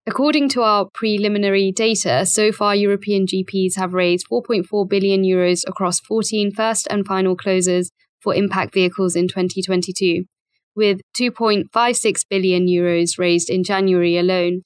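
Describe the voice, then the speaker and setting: A woman's voice: mid-range voice, steady tone, moderate pace. A woman speaking as a reporter and podcast guest, reading out prepared material.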